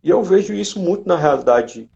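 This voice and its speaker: A man's voice: high-pitched, expressive, brisk, vocal fry, dark tone. A man with a Brazilian accent talking casually as he hosts a live online chat. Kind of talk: casual conversation. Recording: dull lo-fi live-stream audio.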